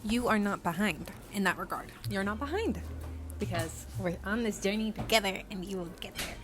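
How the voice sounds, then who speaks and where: A woman's voice: mid-range voice, normal speed. A woman of about twenty whose first language is Swedish, adding casual, reassuring asides to her viewers. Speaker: vlogger. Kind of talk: added voice-over aside to viewers. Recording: noisy background hiss.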